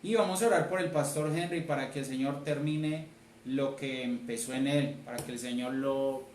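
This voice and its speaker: A man's voice: mid-range voice, steady tone, normal speed, a little mellow. A Colombian man, a church elder, preaching a sermon in an emphatic way, repeating key phrases. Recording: roomy, distant mic, faint noise.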